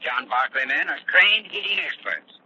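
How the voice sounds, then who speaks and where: Very sharp-voiced man, vintage radio advertisement. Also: mid-range voice, expressive, normal speed, breathy edge. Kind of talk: 1930s radio ad, scripted address. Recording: faintly crackly old radio recording.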